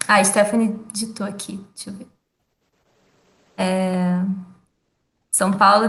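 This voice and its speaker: A young woman teaching an online class in a relaxed way, full of fillers and laughs. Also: medium pitch, echoey room, a little hiss or hum. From Rio de Janeiro, Brazil. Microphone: video call.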